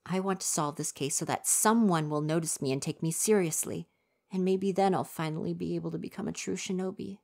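A woman plays a prickly, soft-hearted young would-be ninja in an ASMR roleplay, with a low voice for her range. He snaps back defensively and gets flustered, yet talks earnestly and gently about his hopes. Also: fairly quick, vocal fry.

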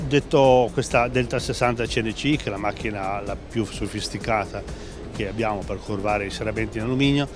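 A man's voice: on the low side, a little fast. Hesitant man interviewed at a trade fair. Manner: hesitant, many fillers and restarts. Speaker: company president interviewed. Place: busy exhibition hall stand. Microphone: handheld interviewer mic. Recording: background music under voice.

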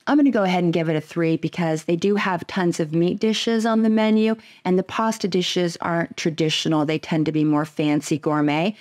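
A woman with a low-pitched voice hosts a casual travel chat, rating cruise restaurants one by one. She talks in an upbeat way and keeps things moving with questions. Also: fairly even intonation, a little fast, hardly any pauses, darkish tone. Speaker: travel vlogger.

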